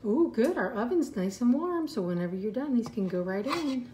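Mom in her thirties hosting a family cooking vlog; gives patient step-by-step guidance; slightly high voice; picked up by a counter camera in a ringing kitchen. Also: lively intonation, moderate pace, warm tone, slight background noise. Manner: patient, encouraging step-by-step instructions. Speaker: mom and channel host. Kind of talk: casual family cooking chat. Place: home kitchen, indoors. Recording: echoey kitchen with clattering dishes.

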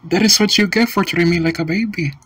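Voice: medium pitch, a bit bright, breathy edge. A synthetic voice as a defiant cartoon boy gamer. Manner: defiant, mocking, slow stiff delivery.

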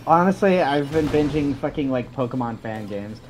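A man guesting on a gaming stream, rambling excitedly as he explains things. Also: medium pitch, normal speed, slight background noise, low-quality recording. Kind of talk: casual gaming chat.

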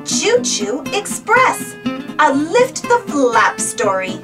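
A woman narrating a children's read-aloud storybook in an animated, expressive manner. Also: high-pitched, slow pace, distant mic, over music.

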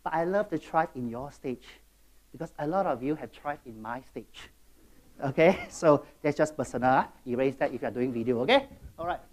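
Middle-aged man, Singaporean English accent, mid pitch. Energetic keynote speaker, punchy swings in volume, frequent audience asides. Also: moderate pace, poor audio. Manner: animated, swings between loud bursts and quiet asides, chatty audience check-ins. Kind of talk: motivational keynote talk.

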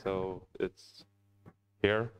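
A man's voice: low-pitched, steady tone, very slow, clean tone, highs cut off. A young man casually presenting a country to an audience, with hesitant ums. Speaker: student presenter. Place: indoor room.

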